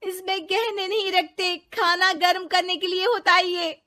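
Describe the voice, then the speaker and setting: Woman acting a high-voiced earnest young city bride. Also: flat delivery, unhurried, pushed and bright, exaggerated voice. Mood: exasperated. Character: young modern city daughter-in-law, eager and determined. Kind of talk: animated moral story dialogue.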